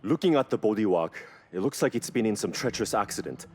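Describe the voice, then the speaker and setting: A man's voice: fairly low pitch, animated, quick pace, a little mellow, slight background noise, lightly acted. A man playing an earnest, knowledgeable car mechanic in video game cutscenes, explaining things with reverence for engines. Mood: thoughtful.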